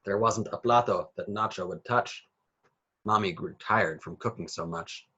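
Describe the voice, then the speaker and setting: A man's voice: fairly low pitch, measured pace, sharp tone, breathy edge, muffled recording. A man reading a children's picture book aloud with rhythmic, sing-song phrasing. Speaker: children's story reader.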